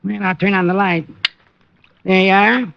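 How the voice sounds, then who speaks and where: High-voiced, nosy photo-shop man. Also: fairly even intonation, unhurried, vocal fry. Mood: curious. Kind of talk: old-time radio drama acting. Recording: muffled, hissy old radio broadcast.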